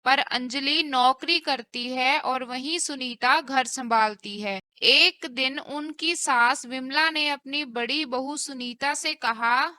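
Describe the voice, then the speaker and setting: Woman telling a cartoon story, bright high voice, slow, really clean and dry sound. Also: smooth, no room sound. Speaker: narrator. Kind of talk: scripted cartoon story narration.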